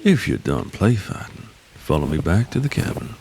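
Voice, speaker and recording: An actor playing a gruff older mountain man in an audio drama, a blunt, folksy combat mentor who teases dryly. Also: very animated, moderate pace, noisy background, full character voice. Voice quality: deep, gravelly.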